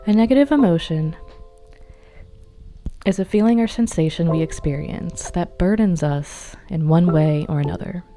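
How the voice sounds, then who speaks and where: Low-pitched woman guiding meditation over music. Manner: calm, measured, instructional. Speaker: meditation guide. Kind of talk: guided meditation narration.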